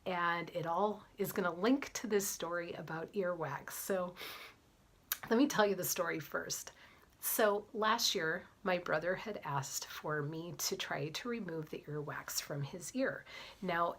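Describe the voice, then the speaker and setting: A middle-aged woman with a cold tells a story straight to camera in a chatty, animated way. Her voice sits slightly low, and she often slips into soft, breathy whispered asides. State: has a cold. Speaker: vlogger, physician assistant and fitness coach.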